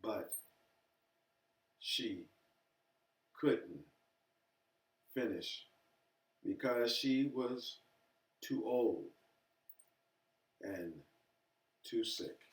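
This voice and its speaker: A man reading a children's story aloud expressively and deliberately, pausing between short phrases. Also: fairly low pitch. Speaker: storybook reader. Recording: echoey room, distant from mic.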